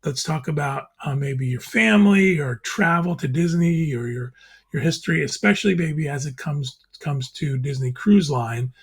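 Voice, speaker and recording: A man hosting a casual video panel chat, introducing guests and asking questions in a friendly, steady way. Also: fairly high pitch, normal speed, creaky, slightly rough. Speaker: podcast host.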